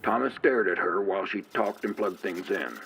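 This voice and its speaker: A man narrating an audio drama from a script in an even, descriptive way. His voice sits on the low side, with faint music underneath. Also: moderate pace, vocal fry.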